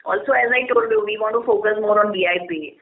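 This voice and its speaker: A woman's voice: medium pitch, fast. A woman company chief answering business questions in an explanatory way, point by point, on a conference call. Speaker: company managing director on an earnings call. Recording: narrow muffled phone conference line.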